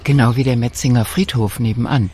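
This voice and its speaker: A man reading scripted narration for a radio documentary feature in a calm, measured way. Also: medium pitch, slight background noise.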